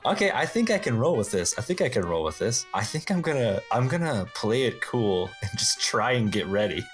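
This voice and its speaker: A man's voice: medium pitch, sing-song, fairly quick, soft background music. A man chatting casually as a player in a tabletop game, thinking aloud and feeling his way through moves. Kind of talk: casual improvised game chat.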